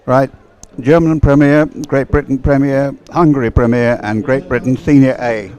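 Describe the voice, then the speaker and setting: A man's voice: mid-range voice, steady tone, normal speed, a little mellow, rough recording. Male live race caller. Mid-range voice, informal, clipped phrasing, outdoor trackside sound. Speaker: race commentator. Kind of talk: live sports race commentary.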